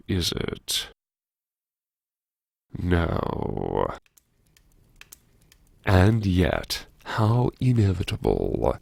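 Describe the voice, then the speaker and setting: A man performing a first-person audiobook monologue as a brooding, wry character who anxiously lectures himself in a theatrical, musing way with dramatic pauses. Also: sits low, gravelly, darkish tone, no room sound, studio quality, full character voice. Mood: sardonic brooding. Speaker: audiobook narrator.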